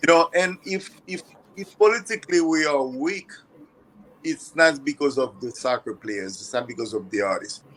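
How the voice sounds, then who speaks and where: A man's voice: a little high, moderate pace, fairly bright tone, a little hiss or hum, poor audio. An older man from Haiti with a Haitian accent, talking conversationally and emphatically, repeating phrases for effect. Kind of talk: conversational storytelling.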